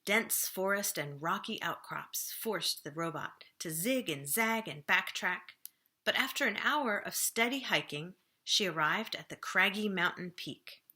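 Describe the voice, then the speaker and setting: Bright-voiced woman reading a children's story. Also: medium pitch. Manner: dramatic pauses, slowing and hushing for suspense. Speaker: children's librarian reading aloud. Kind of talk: expressive children's storybook narration.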